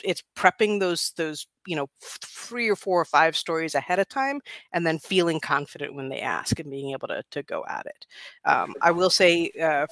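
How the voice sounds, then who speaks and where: Guy w/ a bright, kinda high voice, dry sound, thinking out loud. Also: no echo. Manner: thoughtful, many fillers. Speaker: panelist. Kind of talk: casual panel chat.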